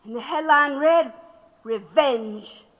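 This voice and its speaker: A woman's voice: sits high, slow, dull audio. A woman acting in an audio drama as a proud, defiant labor activist under interrogation, speaking with impassioned storytelling. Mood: impassioned recounting. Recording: long hall reverb.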